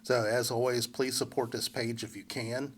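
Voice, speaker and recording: A man with a congested head cold giving a relaxed, unscripted local weather forecast, full of fillers and restarts. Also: mid-range voice, normal speed, a little hiss or hum. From central Indiana. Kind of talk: casual forecast walkthrough.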